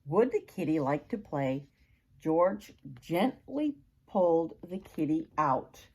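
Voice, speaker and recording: A woman's voice: deep voice, big pitch swings, slow pace, darkish tone, slightly rough. An older woman reading a children's picture book aloud expressively, as a grandmother would, with questions to the young listener.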